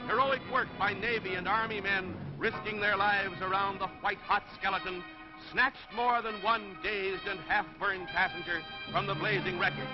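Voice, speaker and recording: A man narrating a 1930s newsreel in a scripted, dramatic and solemn delivery. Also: high voice. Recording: muffled vintage 1930s newsreel soundtrack. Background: music.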